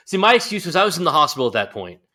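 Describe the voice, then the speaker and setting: A man's voice: fairly high pitch, very animated, a little fast, crowd noise. An American man hosting a podcast, chatting casually with joking banter. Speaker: podcast host. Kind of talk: casual interview chat.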